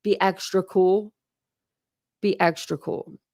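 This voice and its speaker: An American woman hosts a live chat in loose, slangy talk. Her voice sits at an average pitch and sounds close and dry. She is chatty and informal, dropping mild curses as she goes. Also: steady tone, takes it slowly, a little mellow, dry recording. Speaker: true crime livestream host.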